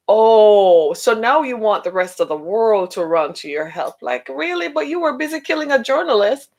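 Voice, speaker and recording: A middle-aged woman talks on her own podcast in a loose, chatty way and often asks her listeners "right?" Her voice is a little hoarse because she is losing it. Her pitch climbs when she turns to mockery. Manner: animated, rising pitch when mocking. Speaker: podcast host. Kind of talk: solo podcast talk.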